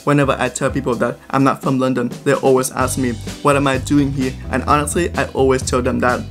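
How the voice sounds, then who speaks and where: A man's voice: medium pitch, fairly quick. A man in his twenties from Vietnam, chatting to camera in a casual vlog about his life. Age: 20s. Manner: chatty, animated storytelling to camera. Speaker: vlogger. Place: apartment room indoors, then city streets and a shop. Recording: background music under the voice.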